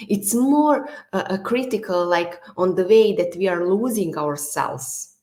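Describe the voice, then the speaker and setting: A woman from Bosnia with a Bosnian accent, hosting a podcast interview at a mid-range pitch. She is not a native speaker and talks conversationally, leaning heavily on "like" and "you know". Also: expressive, unhurried, darkish tone. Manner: leans on "like" and "you know" fillers. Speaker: podcast host.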